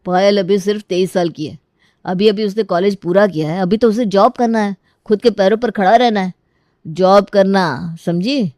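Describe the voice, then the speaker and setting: A woman's voice: mid-range voice, moderate pace, darkish tone, no room sound, studio quality, pitched below the performer's own voice, close to an everyday voice. A woman voicing a stern, irritable man, a protective brother and dismissive husband, curt and commanding in a family drama. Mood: indignant.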